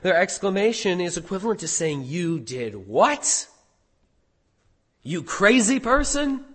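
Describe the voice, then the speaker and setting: A hoarse man preaching a sermon as pastor, animated, with rhetorical questions. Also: sits high, unhurried, big-room sound.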